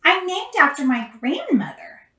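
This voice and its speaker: A woman's voice: high-pitched, huge pitch swings, a little slow, pushed and bright, pitched above the performer's own voice, exaggerated voice. A woman voicing a snooty little know-it-all schoolgirl in a storybook, pointed and condescending. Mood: smug. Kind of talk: children's storybook character voice. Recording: roomy echo, distant microphone.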